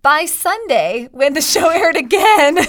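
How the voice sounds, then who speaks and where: A bubbly woman co-hosts a talk show in a casual, chatty style. Her voice sits a bit high and has a slight rasp from a lingering cough. The recording is close and dry. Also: animated, a little slow, a bit bright, no echo. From Cleveland, United States. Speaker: radio and TV show co-host.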